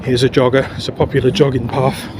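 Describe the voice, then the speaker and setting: A man talks his way along city streets and park paths, rambling and chatty, short of breath from the walk. His voice sits at an ordinary pitch. The outdoor recording has steady traffic and city noise clearly underneath. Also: quick pace. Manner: rambling, chatty, with frequent fillers. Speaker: travel vlogger. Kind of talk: casual walking commentary. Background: traffic and city ambience.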